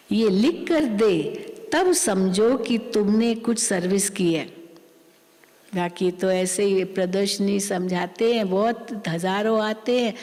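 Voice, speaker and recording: A woman spiritual teacher giving a sermon, explaining a scripture reading slowly and repeating her points. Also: mid-range voice, a little mellow. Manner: slow, repetitive, explanatory. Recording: long hall reverb.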